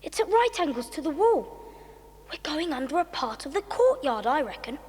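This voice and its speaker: A woman's voice: high voice, sing-song, moderate pace, a little mellow. A woman playing an earnest, commanding young boy who leads an adventure in a children's audio drama, speaking in exclamations. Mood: urgent, cautioning. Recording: echoey reverberant hall, noisy.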